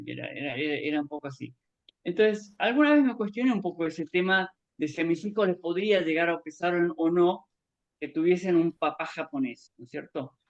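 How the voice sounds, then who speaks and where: A man's voice: sits high, dull audio. A middle-aged man with an Argentine accent, telling personal memories in a rambling, conversational way as a guest speaker. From born in Japan, grew up in Argentina. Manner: rambling, conversational, acts out remembered dialogue. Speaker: guest author giving a testimony. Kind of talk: personal reminiscence in an online talk.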